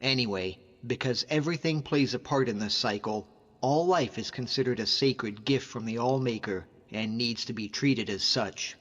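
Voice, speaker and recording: A man's voice: medium pitch, normal speed, a bit bright, big-room sound, dull audio, lightly acted. A man voicing a young, earnest, devout Nordic hunter, a loyal companion in a video game, talking in an informal, conversational way. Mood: reflective, earnest. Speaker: video game follower character. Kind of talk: conversational game character voice acting.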